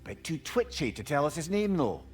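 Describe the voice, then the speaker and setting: Wry, lively adventuring companion. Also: male voice, fairly high pitch, moderate pace, faint music behind. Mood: curious, wry. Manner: blunt, colloquial, dry.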